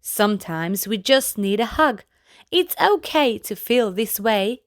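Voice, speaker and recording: A woman reading a children's picture book aloud as a storyteller, in a gentle, deliberate manner addressed to young listeners. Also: mid-range voice, expressive, no echo, clean studio sound. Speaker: children's storybook reader, channel host. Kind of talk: read-aloud bedtime story narration for children.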